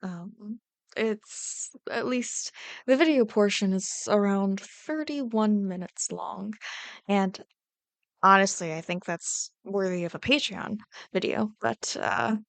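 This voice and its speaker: A woman gives a casual, rambling outro to her online audience, playful and gushing. Her mid-pitched voice has a noticeable creak and is recorded very dry. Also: slow pace, no echo. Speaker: YouTube ASMR voice actor. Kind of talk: casual chatty outro to viewers.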